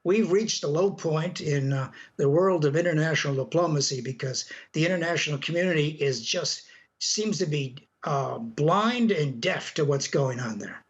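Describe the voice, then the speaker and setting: An older man giving blunt, emphatic and halting commentary as an academic foreign affairs pundit in a live news interview. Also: on the high side, dull audio.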